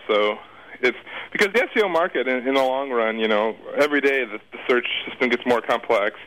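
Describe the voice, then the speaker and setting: A man's voice: mid-range voice, fairly bright tone, poor audio. A man speaking as a guest in a casual interview, talking in a rambling, hesitant, informal way with some slang.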